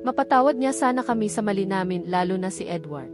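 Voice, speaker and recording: A woman's voice: mid-range voice, animated, fast talker, lightly acted. A woman with a Filipino accent voicing an earnest, hurt young woman confiding at length in an audiobook story. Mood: hopeful, earnest. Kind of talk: audiobook dialogue. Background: background music.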